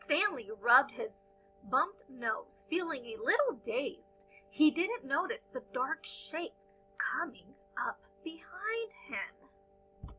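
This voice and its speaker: A woman reading a picture book aloud to young children as a storyteller, with expressive, dramatic and deliberate phrasing. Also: high-pitched, lo-fi sound, soft background music.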